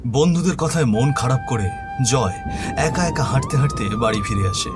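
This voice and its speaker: A man's voice: mid-range voice, lively intonation, moderate pace, music in the background. A man narrating a scripted horror story in a solemn, earnest manner.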